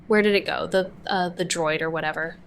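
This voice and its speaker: A woman's voice: on the low side, steady tone, brisk, a little hiss or hum, close to an everyday voice. A woman voicing a wry young amateur sleuth who narrates a noir audio drama with dry, sardonic remarks. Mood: uneasy. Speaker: narrator and investigator.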